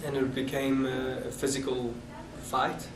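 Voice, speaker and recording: A man in his thirties with a South African accent, recalling his past with halting restarts in an oral history interview. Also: medium pitch, little pitch movement. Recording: echoey room, distant mic, noisy.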